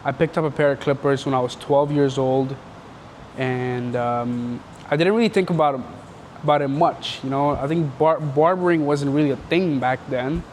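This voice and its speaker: A man's voice: medium pitch, moderate pace, a little mellow, a little hiss or hum. A young man from Canada, casually telling his life story in an interview, rambling and slangy with lots of filler words.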